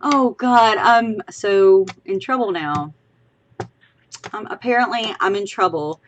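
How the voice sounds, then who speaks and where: A woman's voice: medium pitch, slow, slight background noise. A woman from Louisiana with a Southern accent, co-hosting a casual livestream, rambling chattily and a bit tipsy. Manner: chatty, rambling, giggly asides. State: tipsy from drinking. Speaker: podcast co-host.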